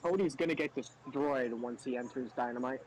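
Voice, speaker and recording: A man's voice: medium pitch, brisk, a little mellow, low-quality recording. A man in a casual sports discussion as a podcast panelist.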